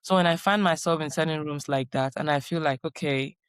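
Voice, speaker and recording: A woman guest in a conversational interview, reflective and calm, repeating her key phrases for emphasis. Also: deep voice, fairly quick, clear, resonant tone, no room sound, clean studio sound. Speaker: guest, doctoral researcher.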